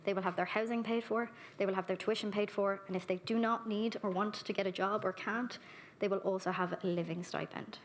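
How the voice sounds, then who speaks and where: A woman's voice: mid-range voice, steady tone, slight background noise. Earnest woman giving a keynote. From Ireland. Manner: earnest, warmly direct, brisk with lists. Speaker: keynote speaker. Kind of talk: keynote talk and audience Q&A. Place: conference hall. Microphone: handheld microphone.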